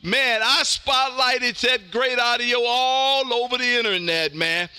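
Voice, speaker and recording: American man, high pitch, piercingly bright and forceful, loud hype-filled manner, ham radio stream host, casual chat and station calls. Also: slow pace, clean tone. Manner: loud, exuberant, repetitive hype. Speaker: amateur radio livestream host.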